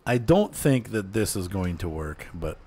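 A man's voice: low voice, very animated, unhurried, warm tone, slight background noise. A man chatting casually and rambling to viewers while playing a farming game, laughing often. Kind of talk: casual gameplay chat.

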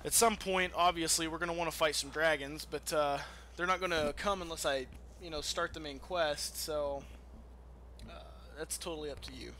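Man, higher-pitched bright voice, casual gameplay commentary, salty language, noisy recording. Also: normal speed. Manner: profane, animated trash-talk. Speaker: let's play host.

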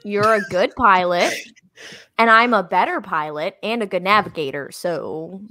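Navigator role: woman playing confident, blunt spacefarer, bright and forceful, unhurried, dry sound. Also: medium pitch. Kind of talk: live online comedy table read.

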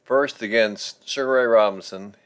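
Older male narrator, measured and informative, low voice. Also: clear, resonant tone, no room sound. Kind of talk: voice-over narration.

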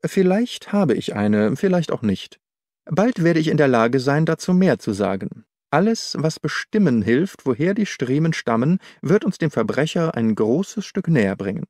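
A man voicing a self-assured, secretive detective in an audiobook, reasoning in a measured way. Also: on the high side, very animated, a little mellow, dry recording, studio quality. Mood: analytical. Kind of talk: audiobook character dialogue.

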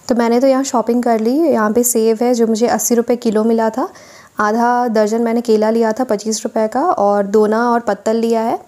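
A woman's voice: fairly high pitch, mellow. A woman vlogger narrating a voice-over tour of a weekly market, listing goods and prices for her viewers.

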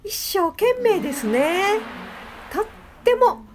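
A woman, presenting a vlog politely and in an animated, sing-song way. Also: extremely high pitch, slow pace. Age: 70s. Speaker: host, flower designer. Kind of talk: vlog narration to viewers.

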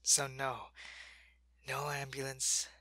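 A man voicing a sick male alien changeling soldier, loyal to his queen, who talks in a soft, weary ASMR whisper. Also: mid-range voice, completely flat delivery, slow, no room sound, exaggerated voice. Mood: weary, ill. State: sick and weak, in pain. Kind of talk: ASMR roleplay.

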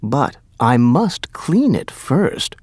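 A man voicing an excitable, poor mother in a storybook, exclaiming in a raised voice. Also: medium pitch, drawn-out words, breathy edge, dry recording, studio quality, heavily acted. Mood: pleased.

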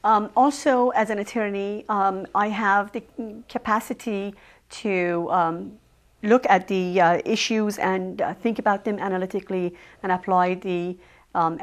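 Woman, Persian accent, mid-pitched, measured and hesitant, with frequent filler words. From Iran. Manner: measured, many ums and uhs. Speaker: village trustee candidate. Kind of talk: local cable interview.

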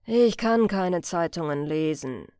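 Unflappable chief inspector female reader, weary and laconic, slow audiobook delivery, very dry sound. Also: fairly low pitch, dry recording, pitched below the performer's own voice. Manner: tired, calm, dry, laconic. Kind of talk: audiobook character voice.

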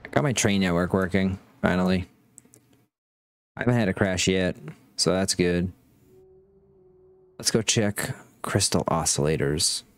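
A man casually commenting on his factory-building game as he plays, thinking aloud as he checks production. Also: sits low. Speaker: gaming channel host.

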